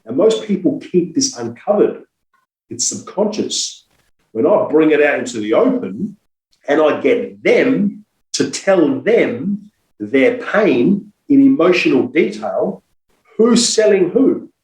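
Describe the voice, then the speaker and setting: A man's voice: on the high side, sing-song, slow, a little mellow, roomy sound, low-quality recording. Persuasive man coaching with rhetorical questions. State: has a cold. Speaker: sales coach guest. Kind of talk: podcast interview, coaching talk.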